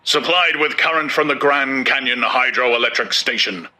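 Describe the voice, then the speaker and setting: A male narrator playing a pompous older official who booms out facts and unasked-for advice. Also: normal speed, pushed and bright. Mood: lecturing. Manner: booming, lecturing, self-satisfied.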